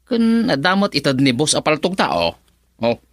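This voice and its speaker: A man's voice: fairly high pitch, wildly swooping pitch, moderate pace, a bit bright, dry recording, clean studio sound, exaggerated voice. A man playing a cocky crook who laughs and reassures his partner in an Ilocano-accented radio drama. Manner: swaggering, laughing.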